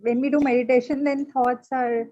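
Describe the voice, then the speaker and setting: A woman's voice: high-pitched, fairly even intonation, moderate pace, warm tone. A woman answering a teacher's question on a video call, hesitant and full of fillers. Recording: dull video call audio.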